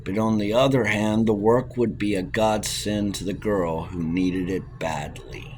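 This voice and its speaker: Slow, measured male audiobook narrator. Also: fairly low pitch, fairly even intonation, a little mellow, a little hiss or hum. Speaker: audiobook reader. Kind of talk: reading a novel aloud.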